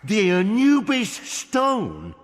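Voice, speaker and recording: Blustering Northern English mayor, swooping delivery. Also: male voice, sits high, wildly swooping pitch, extremely slow, in a large hall, background noise, heavily acted. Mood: confiding. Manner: dramatic, exclamatory, folksy dialect. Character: blustering, anxious Northern village mayor, fond of pies. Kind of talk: video game cutscene acting.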